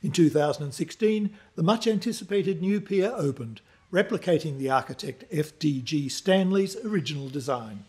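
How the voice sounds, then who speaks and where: A man giving measured, scripted documentary narration. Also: on the high side, lively intonation, a little mellow. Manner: measured, informative.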